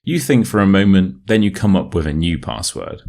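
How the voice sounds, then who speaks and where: A man with a modern RP British accent reading a short story as an English teacher, in a measured, clearly enunciated way. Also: sits low, animated, darkish tone. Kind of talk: scripted story narration for listening practice.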